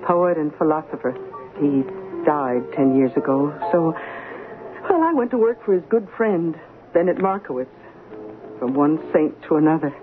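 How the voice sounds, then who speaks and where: A woman's voice: deep voice, moderate pace, over music, lightly acted. An actress in a 1970s radio drama playing a wry, plainspoken middle-aged unmarried woman with a dry, bantering manner. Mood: amused, wistful. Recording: narrow-band old radio broadcast recording.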